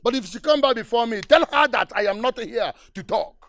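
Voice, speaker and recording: A boastful, blustering village big man, bragging about his money. His voice sits high for a man, recorded close and dry. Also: a little fast, fairly bright tone, dry recording. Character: boastful rich male village politician.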